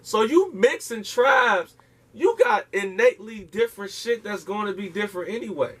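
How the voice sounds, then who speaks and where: A man's voice: high voice, expressive, moderate pace, fairly bright tone, husky. Emphatic profane man lecturing in an interview. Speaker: interview guest. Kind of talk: impassioned casual interview talk.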